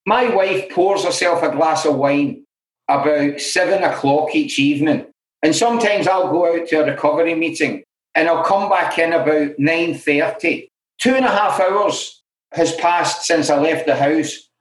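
A middle-aged Glaswegian man speaks candidly and with self-deprecating humour. His voice sits a little higher than most men's. He is recorded in an echoey room that gives the voice a roomy ring. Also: normal speed. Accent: Glaswegian Scottish. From Scotland. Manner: frank and self-deprecating, full of "you know" asides. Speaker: interview guest in alcohol recovery. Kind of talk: candid conversational storytelling.

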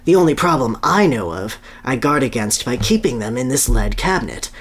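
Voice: mid-range voice, big pitch swings, a little fast, breathy edge. A podcast reader voicing an earnest, boastful scientist doctor who explains a grand scheme at length. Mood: earnest, grave. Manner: boastful, expository.

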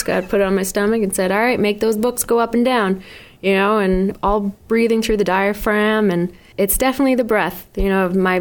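A young woman singer with a New England accent, chatting in a radio studio interview, rambling with frequent laughs and restarts. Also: mid-range voice. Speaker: singer-songwriter guest.

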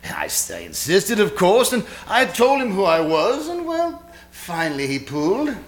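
A man's voice: high voice, wildly swooping pitch, moderate pace. A man playing a vain, effusive theatre star in a live 1940s-style radio suspense play, with a hammy, self-important delivery. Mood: self-satisfied, curious.

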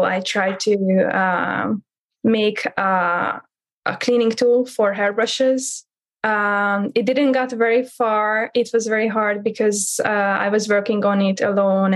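A young woman from Hungary, speaking English hesitantly with many pauses as a student guest at a roundtable. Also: medium pitch. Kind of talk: roundtable introduction.

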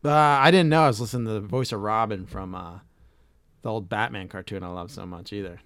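A man's voice: fairly low pitch, fairly quick, fairly bright tone, no echo. A middle-aged man hosting a casual podcast chat, reading facts aloud and swearing casually. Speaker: podcast host.

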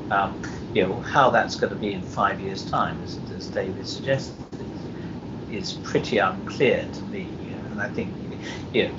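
Low-voiced British man, muffled noisy recording. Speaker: publishing executive, panel guest. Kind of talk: conversational panel discussion. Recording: muffled, noisy live hotel internet-call recording.

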